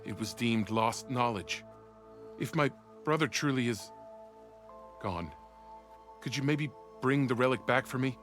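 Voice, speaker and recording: A man's voice: mid-range voice, a little slow, long pauses, a bit bright, close to an everyday voice. Earnest, deferential military officer played by a man. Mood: earnest, sorrowful. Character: Jomar, formal anxious male weapons officer, devoted brother. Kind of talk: video game cutscene acting. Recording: music behind the dialogue.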